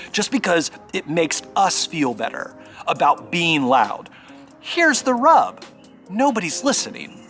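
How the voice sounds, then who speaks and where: A man preaches in a conversational way, with a voice a little higher than average and very lively intonation. He is earnest and exhorting, speaks straight to the listener and stresses points with deliberate pauses. Music plays behind him. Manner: exhorting, addressing the listener directly. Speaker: pastor. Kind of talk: short conversational sermon.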